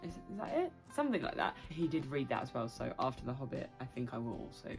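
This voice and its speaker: A young British woman chatting casually and excitably to her viewers as a book vlogger. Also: very deep voice, quick pace, creaky, faint music behind. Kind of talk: casual chatty vlog to camera.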